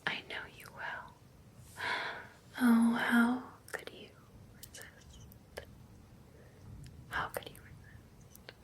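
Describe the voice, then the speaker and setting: Slow whispering obsessed vampire ex-girlfriend, acted by a woman. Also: a little high, monotone. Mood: teasing, longing. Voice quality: soft, breathy whisper. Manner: slow, coaxing, teasing, lingering on endearments. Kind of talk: whispered ASMR roleplay.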